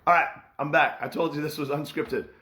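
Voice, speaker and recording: A man in his thirties with a hoarse, scratchy voice, hosting an unscripted podcast in an earnest, enthusiastic way with lots of fillers and restarts. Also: fairly high pitch, fairly even intonation, a little fast, pushed and bright. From Midwestern United States. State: hoarse, recovering from lost voice. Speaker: podcast host and mentor. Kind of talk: unscripted casual podcast talk.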